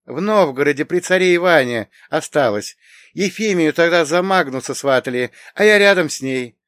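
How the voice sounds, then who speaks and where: A man voicing an imperious, sharp-tongued middle-aged noblewoman in a historical audiobook, with a haughty, drawn-out, commanding manner. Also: fairly high pitch, expressive, no echo, clean studio sound, pitched above the performer's own voice. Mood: reminiscing. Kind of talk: acted audiobook dialogue.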